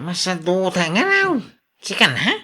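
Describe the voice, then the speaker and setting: A woman's voice: on the low side, big pitch swings, unhurried, bright, forceful tone, slightly rough, full character voice. A woman with an Ilocano accent playing a lazy, whiny, quarrelsome husband in a radio drama. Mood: lazy, irritated.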